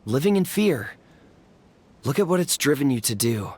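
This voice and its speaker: A man playing an earnest, humble, courteous subject of a monarch in an anime game, speaking sincerely and in measured phrases. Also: mid-range voice, big pitch swings, slightly rough. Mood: earnest pleading. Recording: music under the voice.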